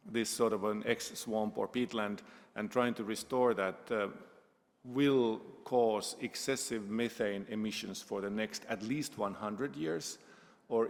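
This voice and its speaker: A man from Finland with a Finnish accent, speaking English as a panelist at a conference and often pausing to search for words. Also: on the low side. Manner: halting, many "uh" fillers, searches for words. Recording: echoey lecture hall.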